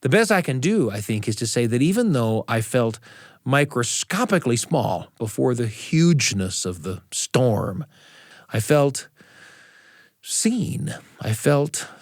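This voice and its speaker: A man hosting a radio show, telling stories and chatting with deliberate phrasing and dramatic pauses. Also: medium pitch, no echo, studio quality. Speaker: radio show host and storyteller. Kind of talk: reflective personal storytelling and hosted studio conversation. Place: radio studio control room.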